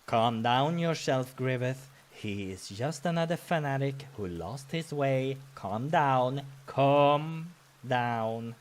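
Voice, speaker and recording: A man's voice: medium pitch, expressive, slow. Man acting proud, hot-tempered adventurer, emphatic and indignant. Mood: strained self-control. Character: hot-tempered, proud male adventurer Griffith, easily exasperated. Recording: music behind the voice.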